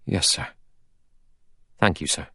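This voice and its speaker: A man's voice: slow, pushed and bright, no echo. Guy doing polite deferential butler, subdued, audiobook character, close dry sound. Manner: polite, servile.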